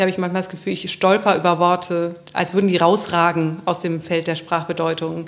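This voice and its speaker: Woman thinking aloud, searching for words. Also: lowish voice. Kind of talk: reflective intellectual discussion.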